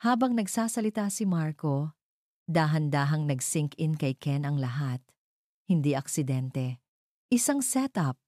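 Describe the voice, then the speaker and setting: A woman narrates a scripted drama as storyteller. Her voice is low and mellow, recorded dry and close, with a dramatic delivery. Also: expressive, measured pace, no echo.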